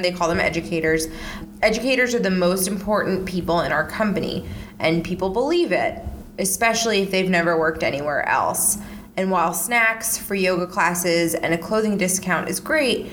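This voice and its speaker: A woman's voice: on the low side, fairly bright tone, a little hiss or hum. A woman hosting a casual true-crime podcast, talking conversationally with lots of "like" fillers. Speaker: podcast host.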